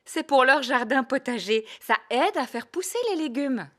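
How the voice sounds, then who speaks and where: A woman voicing a kindly, patient mother pig who explains things gently in a children's cartoon. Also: sits high, expressive, moderate pace. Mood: patient, motherly.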